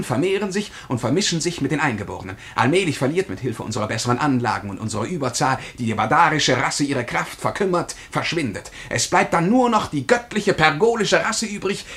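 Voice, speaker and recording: An actor playing a haughty, menacing time traveller in a radio drama, declaiming in a pompous, commanding way. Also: male voice, on the high side, expressive, fairly quick, hardly any pauses, a bit bright. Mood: imperious. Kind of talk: German radio drama acting.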